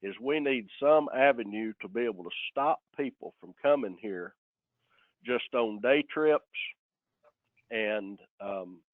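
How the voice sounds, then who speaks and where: A man's voice: mid-range voice, expressive, unhurried, dry recording. A man speaking as sheriff on a conference call, giving informal, plain-spoken, folksy remarks to a public meeting. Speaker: county sheriff. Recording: tinny, dry phone line.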